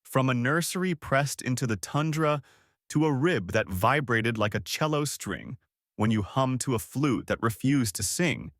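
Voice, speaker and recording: Expressive man telling a slow bedtime story. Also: mid-range voice, no room sound, clean studio sound. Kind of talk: scripted second-person bedtime narration.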